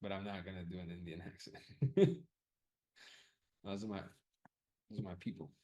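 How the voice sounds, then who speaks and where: A man's voice: deep voice, steady tone, normal speed, mellow. A man running a live audience poll about class times, casually thinking aloud, loose and profane, often repeating himself.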